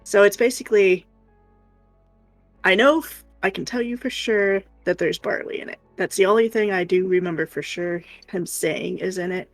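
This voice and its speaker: A woman co-hosting a livestream in casual chat, rambling and hesitant as she thinks aloud, with a creaky voice. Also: mid-range voice, normal speed, no echo, faint music behind. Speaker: co-host of an art livestream.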